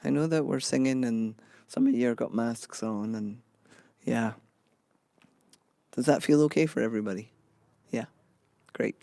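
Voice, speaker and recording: A slightly hoarse man, a singer and minister, talking reflectively between songs to a live audience with many fillers and restarts. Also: medium pitch, moderate pace, long pauses, no room sound. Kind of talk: relaxed talk between songs at a concert. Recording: guitar playing loudly behind voice. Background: guitar.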